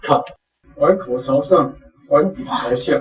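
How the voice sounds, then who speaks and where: A man with a Taiwanese accent and a fairly high voice works as a language teacher. He explains things off the cuff and repeats phrases for his student. The sound is roomy and a little distant from the mic. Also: a little slow.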